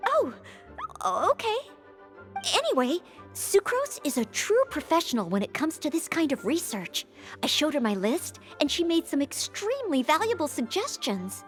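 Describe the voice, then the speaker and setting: An eager young maid of a knightly order in a video game. Her high voice swings expressively, courteous and earnest throughout, with faint music underneath. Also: huge pitch swings, normal speed. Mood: admiring. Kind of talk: video game character dialogue.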